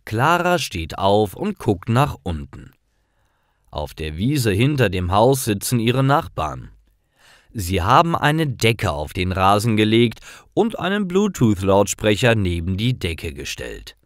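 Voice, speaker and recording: A man narrating scripted stories for language learners in deliberate, clearly separated short sentences. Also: on the low side, sing-song, no room sound, studio quality.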